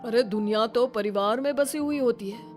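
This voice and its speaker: A woman's voice: a little high, moderate pace, darkish tone, faint music behind, natural voice. A woman voicing a devoted middle-aged husband in a sentimental family story, tender and earnest. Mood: reassuring.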